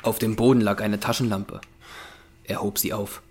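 A man's voice: lowish voice, little pitch movement, normal speed, darkish tone, slight background noise. A man narrating a horror audio drama from a script.

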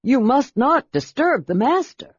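A man's voice: sing-song, unhurried, breathy edge, heavily acted. A man voicing a timid, dutiful, flustered male servant in an audiobook, nervous and deferential. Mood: anxious. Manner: nervous, deferential, high-voiced. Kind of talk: audiobook character voice. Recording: roomy echo, slightly dull sound.

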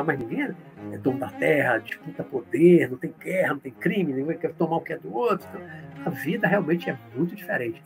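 A man with a fairly high voice that swings widely and expressively. He explains patiently, reads viewer comments aloud and answers each in turn. Soft cello music plays faintly underneath. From Brazil, born in Olinda, Pernambuco. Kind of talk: live-stream talk reading and answering comments.

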